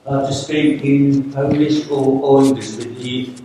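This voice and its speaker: A man's voice: medium pitch, flat delivery, measured pace, background noise. A man in the audience asking a question, hesitant with several uhs. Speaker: audience member. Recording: very echoey hall from far off.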